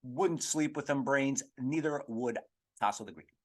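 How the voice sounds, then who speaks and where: A man hosting a podcast, giving casual comedic commentary in a rambling, halting way with crude slang. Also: mid-range voice. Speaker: podcast host.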